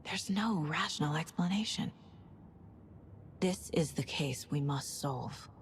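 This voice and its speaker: A steady, level-headed female federal agent in a video game. Her voice sits a little low and stays calm and focused. Faint ambient sound hangs behind her. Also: slow pace, slight background noise. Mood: thoughtful. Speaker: FBI agent investigating murders. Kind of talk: game voice acting.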